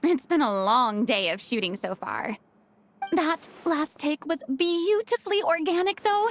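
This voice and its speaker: A woman's voice: sits high, lively intonation, a little slow, soft background music. A woman voicing an encouraging, decisive film director in a video game, polished and businesslike. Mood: considerate, businesslike.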